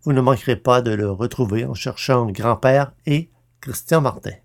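A man's voice: mid-range voice, moderate pace, gravelly. A man narrating and hosting a podcast from a script, formal and carefully enunciated.

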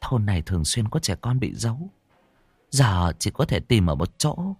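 A stern middle-aged village chief, as a man puts her on, questioning in a formal, probing tone with her voice lifted a little higher. It is horror-story narration, dry and close. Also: big pitch swings, normal speed, darkish tone, husky, no echo, heavily acted. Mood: grave.

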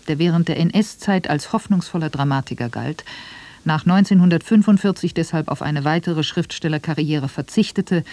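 A man narrates from a documentary script in a fairly high voice. His tone is mellow and dark-edged, recorded very dry. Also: no echo. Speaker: second feature narrator.

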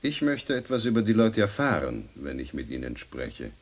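An actor playing a menacing, cynical man in a radio play, husky, insinuating and condescending as he probes. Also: low voice, huge pitch swings, normal speed, exaggerated voice. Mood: cynical, probing.

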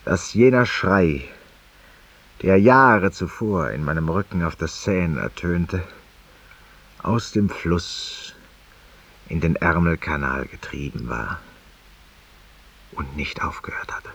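A man's voice: deep voice, expressive, slow, gravelly, pauses often, fairly bright tone, breathy edge, full character voice. An actor playing a world-weary, eloquent, guilt-ridden man who confesses intimately to an unseen companion in a 1950s radio drama monologue. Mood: haunted, brooding. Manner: intimate, rhetorical, addressing an unseen companion, sometimes dropping to a whisper. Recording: muffled, distant 1950s radio recording.

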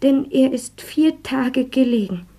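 Casting: solemn woman reciting scripture for radio drama, high but mellow, whispery, hushed. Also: lively intonation, slow pace, heavily acted. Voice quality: breathy, whispery.

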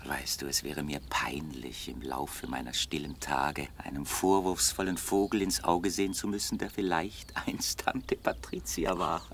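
An actor playing a wealthy, urbane young bachelor with a measured, drily ironic and polite manner, in a 1960s German radio play. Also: male voice, very deep voice, little pitch movement, gravelly, a bit bright, exaggerated voice. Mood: dryly sardonic. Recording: noisy 1960 radio play recording.

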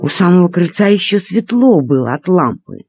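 A woman narrating a children's story expressively, in a deliberate, dramatic storytelling manner. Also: on the low side, sing-song, a little mellow, breathy edge, no room sound, studio quality. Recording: cassette transfer with music behind.

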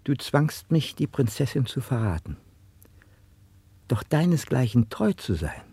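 A faithful servant with secret defiance in a classical radio drama. He declaims solemnly and very slowly, with lively pitch swings. The voice is dark and earnest. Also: slightly rough, dry recording, exaggerated voice. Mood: solemn resolve. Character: loyal male servant secretly defying a wicked lord.

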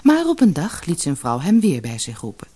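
A woman's voice: low-pitched, huge pitch swings, moderate pace, warm tone, husky. A woman reading a scripted fairy tale as an audiobook narrator.

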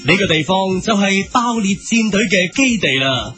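A man acting as an earnest, heroic cartoon narrator with a high voice and lively, swooping delivery. He reads dramatic scripted narration over prominent music. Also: normal speed. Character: earnest male narrator of a superhero team cartoon. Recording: music mixed under the voice.

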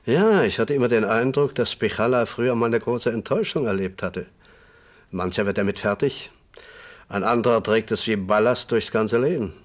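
A man's voice: lowish voice, normal speed, natural voice. An actor playing a good-natured, talkative man reminiscing in conversation in a radio crime drama. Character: chatty, good-natured male host reminiscing about an old regular.

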